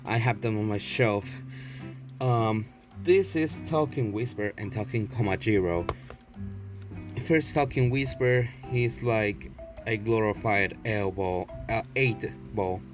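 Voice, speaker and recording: A man with a fairly low voice talks off the cuff like a video blogger showing things off. He rambles casually and swears freely, with music clearly playing behind him. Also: slow. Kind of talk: unscripted casual show-and-tell.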